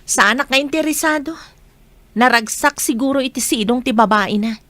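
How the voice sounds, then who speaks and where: A proud, wounded wife in a radio drama, brushing off talk of her husband. Her voice is high and recorded dry and close. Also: moderate pace, fairly bright tone, no room sound. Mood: bitter, dismissive. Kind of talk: Filipino radio drama acting.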